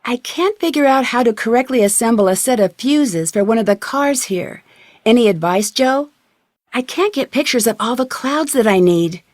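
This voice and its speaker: A young female detective from a video game, played by a woman, with a high voice recorded close and dry. She sounds inquisitive and polite, speaking clearly with self-assured confidence. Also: lively intonation, moderate pace, no room sound, close to an everyday voice.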